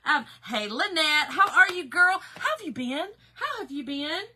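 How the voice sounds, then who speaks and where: A middle-aged woman from Georgia with a Southern accent hosts a live craft stream, greeting viewers in a folksy, chatty way. Her voice sits high and sounds very bright and forceful. It carries a slight hoarseness. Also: big pitch swings, a little slow. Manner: folksy and chatty, calling viewers "girl" and "y'all". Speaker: craft livestream host.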